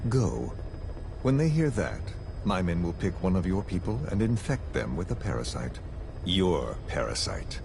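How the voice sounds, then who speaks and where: A man playing a cold, calculating video game villain who interrogates with measured, theatrical, falsely polite menace. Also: sits low, big pitch swings, creaky, background noise, heavily acted. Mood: coldly threatening. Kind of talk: dramatic video game voice acting.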